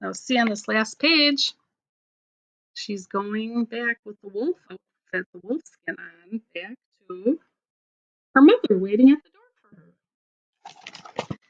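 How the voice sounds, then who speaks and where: A woman teacher reading a storybook aloud to children, in simple phrases with deliberate pauses. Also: fairly high pitch, expressive, lo-fi sound. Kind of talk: storybook read-aloud with explanatory asides.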